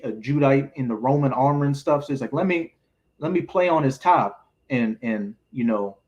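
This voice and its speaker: A man leading an informal group Bible study, explaining scripture in colloquial, streetwise language. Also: medium pitch.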